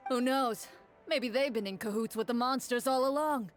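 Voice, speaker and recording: Brief: gruff, penny-pinching game merchant. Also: male voice, very high-pitched, moderate pace, a bit bright, faint music behind. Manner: gruff, dismissive, haggling. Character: stingy, surly middle-aged male city merchant. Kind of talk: video game dialogue.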